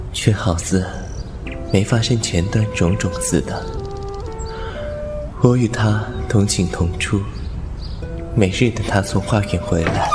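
A man voicing a thousand-year-old male fox spirit, speaking softly and slowly in a quietly melancholy audio-drama monologue. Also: on the low side. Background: loud music score.

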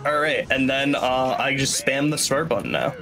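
A man's voice: mid-range voice, very animated, moderate pace, gravelly, noisy background. A young man giving casual, chatty speedrun commentary, explaining as he plays and swearing casually. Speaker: speedrunner playing live at a charity marathon. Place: small indoor room at home. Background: video game music.

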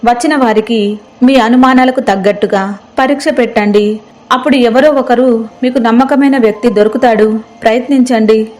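A woman playing a caring, sensible wife in a moral story, speaking in a gentle, respectful, reassuring way. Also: fairly high pitch, fairly even intonation, moderate pace, close to an everyday voice, poor audio.

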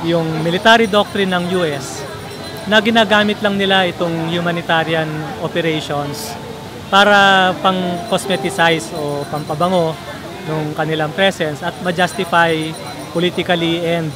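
A man's voice: fairly high pitch, steady tone, normal speed. A man with a Filipino accent giving interview remarks, dropping in English terms.

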